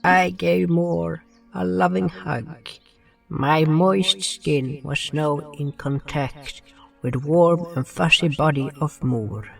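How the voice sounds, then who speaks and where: A sorrowful, gentle swamp creature tells a fantasy tale solemnly, voiced by a man. The delivery is very slow and creaky, sounding a bit distant over music. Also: fairly high pitch, fairly even intonation, heavily acted. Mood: solemn storytelling. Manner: solemn, deliberate. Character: River, gentle sorrowful slimy-skinned one-eyed swamp creature narrator. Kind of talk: scripted first-person fantasy narration and dialogue.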